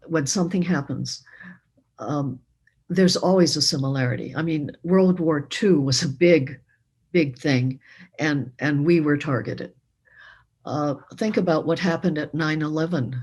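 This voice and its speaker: A woman on a community panel answering the moderator in a husky voice, stopping and starting, pressing each point. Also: low voice, darkish tone. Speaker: panel speaker. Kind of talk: reflective answer to a moderator's question.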